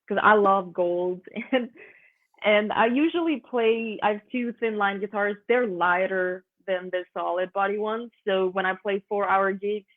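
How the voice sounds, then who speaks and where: A young woman with a Swiss German accent, a guest musician talking in a chatty way and laughing often. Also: mid-range voice. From Switzerland. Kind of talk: casual interview chat. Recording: tinny phone-like line.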